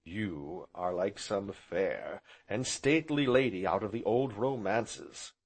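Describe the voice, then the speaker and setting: A courtly, dreamy gentleman from a romantic novel, as a male narrator plays him. He talks in a placid, gravely courteous way at a medium pitch, with highly varied melody. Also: sing-song, a little slow. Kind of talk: audiobook character voice.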